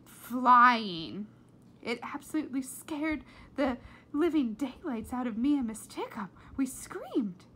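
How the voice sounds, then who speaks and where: A woman's voice: sits high, very animated, slow pace, pushed and bright, a little hiss or hum, exaggerated voice. A woman voicing a dramatic storybook mother who gushes and exclaims with fake cheer. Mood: flustered. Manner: gushing, exclamatory.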